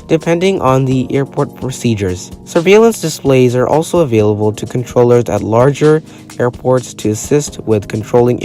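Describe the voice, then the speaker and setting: A man's voice: mid-range voice, darkish tone. A man reading a scripted educational narration in a formal, matter-of-fact manner, occasionally stumbling over a word. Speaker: narrator of an explainer video. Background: music.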